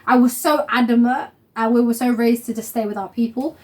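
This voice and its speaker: A woman's voice: a little high, fairly even intonation, fairly quick, darkish tone. A young woman of Somali family hosting a casual couple vlog at home, chatty and rambling, with lots of fillers and laughter. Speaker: vlog host. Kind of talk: casual chat.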